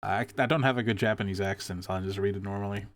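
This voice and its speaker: A man's voice: lowish voice, fast. A man streaming a video game, giving wry, joking asides between lines of dialogue he reads aloud. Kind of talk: live gaming commentary, reading dialogue aloud in voices.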